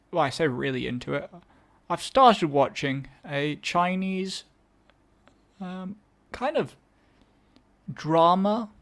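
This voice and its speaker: Rambling English man with a higher voice. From England. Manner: rambling, self-correcting, wry asides. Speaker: podcast host. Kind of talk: casual solo chat introducing songs.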